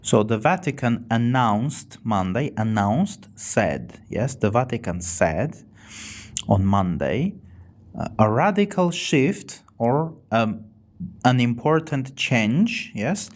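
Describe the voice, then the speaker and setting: A man's voice: mid-range voice, big pitch swings. Armenian-accented man teaching English on a live stream. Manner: slow, repeats and rephrases words for learners. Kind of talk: casual live-stream English lesson reading chat.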